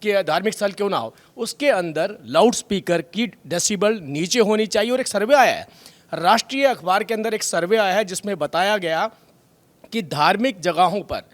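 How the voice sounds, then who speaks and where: Male political spokesman, high-pitched voice, emphatic debate delivery that repeats key phrases. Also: expressive, moderate pace. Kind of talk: TV debate argument.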